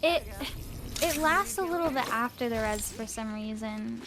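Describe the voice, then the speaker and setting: A woman with a raspy voice streaming a game, chatting casually with playful, crude banter. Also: a little high, lively intonation, normal speed, noisy background. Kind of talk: casual gaming chat.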